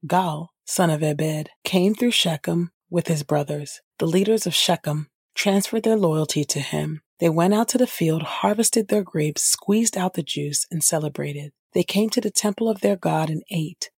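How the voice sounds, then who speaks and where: Husky woman reading scripture. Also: low voice, a little mellow, no room sound, studio quality. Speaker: scripture reader and podcast host. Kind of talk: scripted audio Bible reading.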